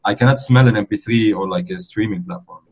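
A man's voice: lowish voice, fast, a little mellow, slightly rough. A man in his forties from Lebanon, a DJ guest talking in an easygoing, rambling way over a remote call. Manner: easygoing, rambling, frequent "like" and "you know". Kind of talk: relaxed conversational interview.

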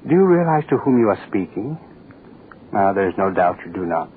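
Low, imperious pompous dignitary. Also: male voice, big pitch swings, brisk. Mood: imperious, demanding. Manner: curt, commanding, pompous. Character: imperious, menacing, self-important male state dignitary. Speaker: actor in a radio play. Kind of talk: 1940s radio horror acting. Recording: tinny old radio recording, faint noise.

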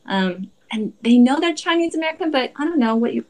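A woman in her forties talking about her family in a casual interview, thoughtful and pausing often with ums. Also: high-pitched, lively intonation. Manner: thoughtful, hedging with frequent ums. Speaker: interview guest, mother.

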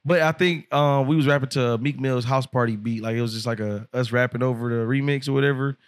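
A young man in his twenties tells a long story in a loose, animated, profane way, laughing often as he goes. His voice sits at a middle pitch. It is recorded close and dry in a studio. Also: fast talker, no echo. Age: 20s. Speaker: rapper guest. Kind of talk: casual podcast storytelling. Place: podcast studio.

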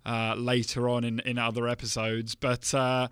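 A man's voice: mid-range voice, monotone, moderate pace, fairly bright tone, clear, resonant tone, no echo, studio quality. A man co-hosting a podcast, chatting casually and reading listener emails aloud, upbeat, laughing often, with lots of fillers. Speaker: podcast co-host.

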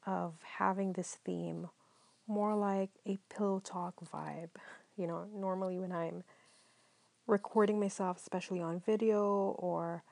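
Soft-spoken woman with a slightly gravelly voice. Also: fairly low pitch, no echo. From Philippines. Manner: calm, mellow, partly whispered, many ums. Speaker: podcast host. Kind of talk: soft-spoken solo podcast monologue.

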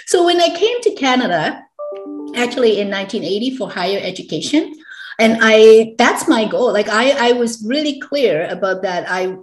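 A woman around sixty from Hong Kong, a guest educator and entrepreneur, talking casually with frequent laughs and filler words. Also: mid-range voice. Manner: chatty, laughs often, many fillers and restarts. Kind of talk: casual interview conversation. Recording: echoey room.

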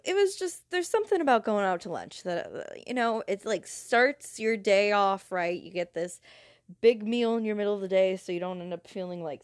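A woman's voice: mid-range voice, dry recording, studio quality. A woman with a head cold, hosting her own podcast in a casual, rambling chat with lots of fillers and restarts. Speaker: podcast host.

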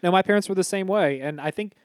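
A man's voice: high voice, fast, no echo, studio quality. A young man co-hosting a casual podcast, telling rambling stories and swearing casually. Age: young adult. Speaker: podcast co-host. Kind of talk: casual chat.

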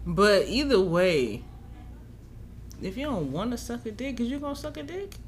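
A woman's voice: on the high side, big pitch swings, normal speed, a little hiss or hum. A woman co-hosting a podcast in blunt, playful casual banter. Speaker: podcast co-host.

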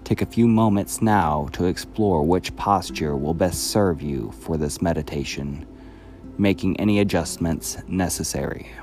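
A man reading a scripted guided meditation in a calm, measured, soothing manner. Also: sits low, soft background music.

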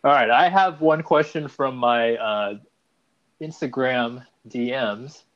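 A young man co-hosting a casual podcast, talking in a playful, hurried way. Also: medium pitch. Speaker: podcast co-host. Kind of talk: casual chat. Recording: slightly dull lo-fi recording.

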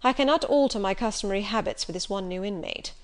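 A woman doing a brusque, commanding gentleman. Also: mid-range voice, expressive, fast, lightly acted. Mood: imperious. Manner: commanding, sardonic, louder. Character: imperious older gentleman, brusque and probing.

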